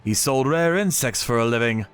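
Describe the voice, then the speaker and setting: A man's voice: mid-range voice, animated, normal speed, fairly bright tone, clear, resonant tone, soft background music. A man narrating a dramatic story in the first person with theatrical delivery. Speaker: narrator of a manga channel story.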